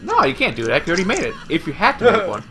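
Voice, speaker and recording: A young man casting esports, chatting and teasing his co-casters in quick banter over a headset. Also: high-pitched, huge pitch swings, a crowd in the background. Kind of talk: casual chat between casters.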